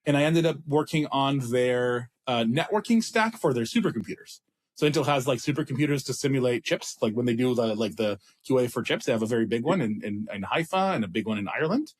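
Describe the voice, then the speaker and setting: An Israeli man in his thirties tells his story in a relaxed, meandering way. He restarts his sentences often and pokes fun at himself. His pitch sits in the middle of the range for a man. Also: quick pace. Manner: rambling, self-deprecating, many restarts. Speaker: startup CEO guest. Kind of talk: casual interview talk.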